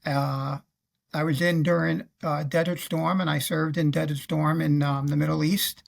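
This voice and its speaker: Gravelly New York man in his fifties. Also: fairly high pitch, flat delivery, moderate pace. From Queens, New York. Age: 50s. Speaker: guest, retired federal law officer and navy veteran. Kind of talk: recounting career in an interview. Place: indoor room on a video call.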